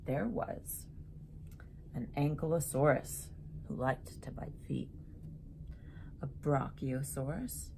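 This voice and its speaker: A woman with a notably deep, mellow voice shares a children's book as its author. She talks to young listeners in an expressive storybook way, mixing reading with casual remarks. A faint layer of noise sits behind her voice. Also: takes it slowly, vocal fry, pauses often, low-quality recording. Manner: animated storybook delivery, addressing young listeners.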